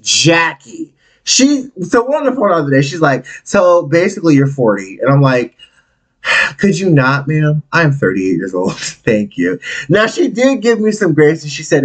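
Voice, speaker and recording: Animated, candid man in his thirties hosting a podcast. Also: rough recording. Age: 30s. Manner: candid, animated, big swings in pitch and volume; blunt, profane, conversational. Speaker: podcast host. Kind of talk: solo podcast monologue.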